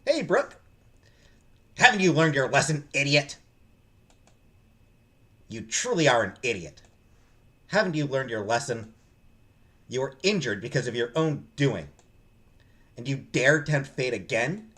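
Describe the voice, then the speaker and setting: A man's voice: mid-range voice, very animated, measured pace, pauses often, bright, forceful tone. A man voicing a manipulative, scolding mother who feigns care in a visual novel. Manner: scolding, controlling, falsely caring. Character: abusive, manipulative mother in a young woman's head.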